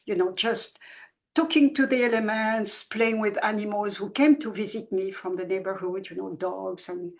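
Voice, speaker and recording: A woman in her seventies who grew up in France, a children's book author talking in an interview in a soft, halting way with many restarts. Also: mid-range voice, fairly even intonation. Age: 70s. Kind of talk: reflective conversational interview.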